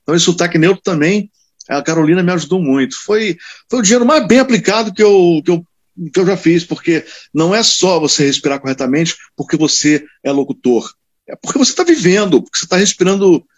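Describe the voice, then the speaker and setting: A man's voice: sits high, fairly quick. A man from Rio de Janeiro, a radio announcer, chatting animatedly with colleagues and stressing his points with tag questions. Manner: animated, emphatic storytelling with frequent tag questions. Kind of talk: casual chat among broadcasters.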